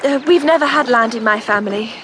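An actress playing a spirited, self-possessed young upper-class Englishwoman in a radio drama, with crisp speech. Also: on the high side, quick pace, noisy background, lightly acted. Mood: brisk, impatient. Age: young adult.

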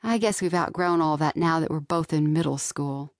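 A woman's voice: sits low, normal speed, no echo, clean studio sound. A woman reading scripted first-person narration from a young-adult novel as an audiobook narrator. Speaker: author narrating own audiobook. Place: dry studio.

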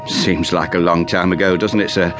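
An actor playing a deferential ship's steward in a radio drama, formal and courteous. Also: male voice, deep voice, fairly even intonation, normal speed, music in the background, lightly acted. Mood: polite, knowing. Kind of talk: audio drama acting.

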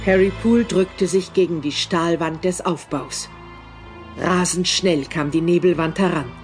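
A man's voice: on the high side, measured pace. A man reading scripted, dramatic narration for a German horror audio drama. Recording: music behind the voice.

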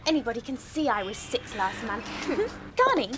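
A woman's voice: on the high side, huge pitch swings, measured pace, heavy background noise. A woman playing an eager six-year-old English schoolgirl in an audio drama, childlike and emphatic. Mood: earnest, proud. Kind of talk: full-cast radio dramatisation.

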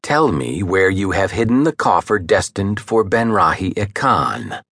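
Celeste, a soft hissing serpent woman voiced by a man. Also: deep voice, sing-song, unhurried, slightly rough, no room sound, heavily acted. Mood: calm, hushed. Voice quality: soft, hissing, hauntingly beautiful. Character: soft-spoken female serpent naga, ancient, calm.

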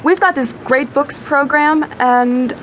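An actress playing a pushy, eager high school girl in a comic radio play, direct and perky. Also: takes it slowly, highs cut off. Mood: friendly, frank. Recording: noisy original workshop recording.

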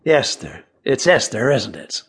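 An actor playing a wry, needling, excitable frontier doctor in a western audio drama. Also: male voice, medium pitch, measured pace, husky, full character voice. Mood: teasing, wry. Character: excitable nosy male frontier doctor, dry-witted.

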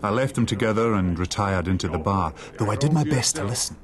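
An actor playing a man who recounts his boyhood, narrating a dramatised audiobook in a sombre, measured way. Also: on the low side, lively intonation, a little hiss or hum, natural voice. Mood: measured, tense.

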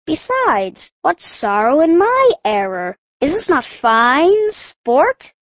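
An actress playing a mischievous, gleeful young hobgoblin in a Shakespeare audiobook, playful and theatrical. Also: female voice, sits high, sing-song, slow pace, no room sound, muffled recording, full character voice. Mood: cheeky, unrepentant. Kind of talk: full-cast Shakespeare audiobook acting.

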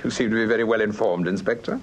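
An actor playing a suave, inquisitive gentleman detective in a radio crime drama, urbane and probing, with a British accent. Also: on the low side, fairly even intonation, quick pace, lightly acted. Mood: curious, probing. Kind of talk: full-cast radio crime drama acting.

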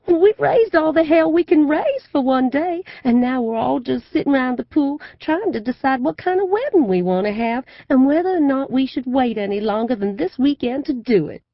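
A woman's voice: high-pitched, no room sound, lo-fi sound, pitched above the performer's own voice. A woman voicing a flamboyant older Southern fortune-teller mother who drawls, wheedles and plays to the crowd.

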